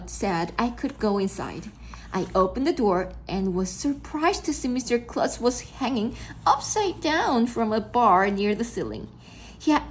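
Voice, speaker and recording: A woman reading a children's storybook aloud in a boy's first person, expressive and stumbling now and then over words. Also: mid-range voice, a little hiss or hum. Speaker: read-aloud narrator.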